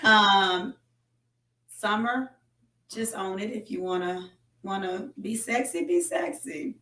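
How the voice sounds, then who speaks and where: An American woman hosts a podcast in a casual, chatty style. Her pitch sits in the middle for a woman, and the recording has a strong roomy echo. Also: animated, slow pace, fairly bright tone. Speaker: podcast host. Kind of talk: casual chat. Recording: roomy, echoey sound.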